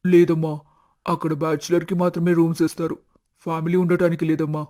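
A man voicing a dutiful, respectful grown son in an animated family story, speaking earnestly. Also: fairly high pitch, brisk, lightly acted. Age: young adult.

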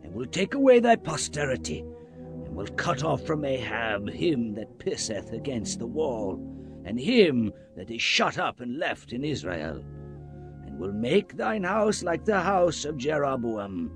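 An actor playing a stern, wrathful prophet, declaiming doom solemnly in a Bible drama. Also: male voice, medium pitch, wildly swooping pitch, unhurried, over music.

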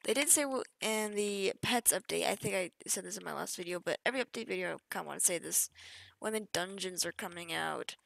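A woman's voice: fairly low pitch, fairly quick, gravelly, fairly bright tone, no room sound. A young woman casually talking through a game update over screen capture, chatty and offhand, often trailing off mid-sentence. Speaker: gaming youtuber. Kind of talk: casual gameplay walkthrough.

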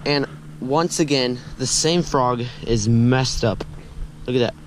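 A man's voice: medium pitch, slow pace. A young American man giving casual, informal fishing vlog commentary to his viewers. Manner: informal, addressing viewers as guys. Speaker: fishing vlogger. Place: outdoors beside a grassy pond. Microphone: body-worn action camera. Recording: noisy outdoor action-camera audio. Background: clear outdoor ambient noise.

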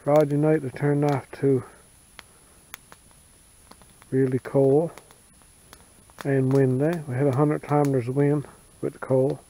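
A man talking in a plain, unhurried, folksy way while showing his camp in the snowy woods, recorded on a wearable camera. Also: mid-range voice, flat delivery, warm tone, low-quality recording. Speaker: bushcraft vlogger. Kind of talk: casual outdoor narration. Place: snowy forest outdoors.

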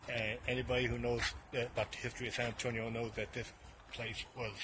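A man's voice: mid-range voice, little pitch movement, quick pace, noisy background. A man vlogging as he walks through outdoor hotel ruins, talking in a loose, rambling way and correcting himself as he goes. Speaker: urban explorer vlogger. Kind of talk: casual walking narration.

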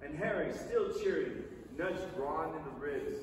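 Male stage reader, higher-pitched and theatrical, emphatic storybook delivery, heard from afar in a reverberant theatre. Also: animated, unhurried. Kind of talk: dramatic staged reading of a children's novel. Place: large theatre stage, indoors. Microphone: far camera in the audience. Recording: echoey theatre hall, distant mic, noisy.

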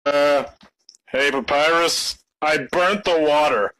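A man's voice: on the high side, slow, bright, forceful tone, low-quality recording. A man voicing a sheepish slacker cartoon skeleton with a hesitant, casual delivery.

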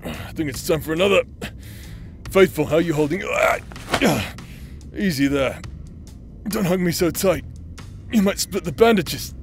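A man's voice: a little high, a little slow, vocal fry, pauses often, faint music behind. A man playing a cocky, teasing adventurer in an audio roleplay, with a drawling, playful manner. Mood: amused. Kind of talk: dramatic audio roleplay acting.

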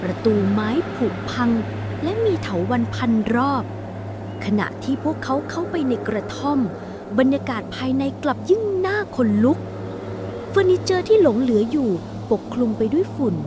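Woman storyteller, high voice, dramatic scripted ghost-story narration, clearly noisy ambient backdrop. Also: sing-song, moderate pace. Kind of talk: scripted narration of a spooky bedtime horror tale. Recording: clear background noise, ambient rumble.

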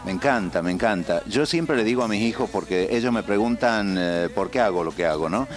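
Low-voiced Argentine man, measured and earnest. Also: expressive, faint music behind. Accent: Argentine Spanish. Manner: measured, earnest, with frequent "eh" pauses. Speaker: TV host and producer as guest. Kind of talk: casual interview. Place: TV studio set, on a couch. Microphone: handheld mic held by interviewer.

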